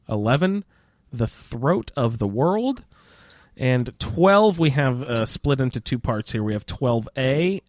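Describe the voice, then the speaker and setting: A man's voice: mid-range voice, darkish tone, no room sound, clean studio sound. A man co-hosting and moderating a casual roundtable podcast, chatting with the other panelists.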